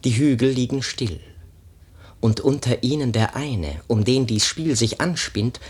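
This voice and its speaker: An actor playing a solemn, poetic prologue speaker in a radio drama, declaiming in a measured, theatrical way. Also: male voice, medium pitch, breathy edge. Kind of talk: recited verse prologue in German radio drama.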